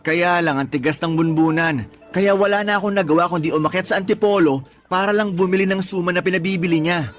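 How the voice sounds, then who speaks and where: A man voicing a worn-out young husband who grumbles about his partner's demands, his voice sitting a little high. He talks over a faint music bed in a radio drama. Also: fairly quick, close to an everyday voice. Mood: exasperated. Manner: exasperated, complaining. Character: harried young husband, exasperated and put-upon.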